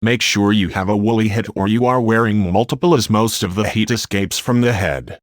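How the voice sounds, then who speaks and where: Guy acting weather service expert on radio drama, lowish voice, grave n instructive, dry recording. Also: normal speed, dry recording, natural voice. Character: sombre weather service expert giving survival advice. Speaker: radio show guest expert. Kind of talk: scripted radio drama monologue.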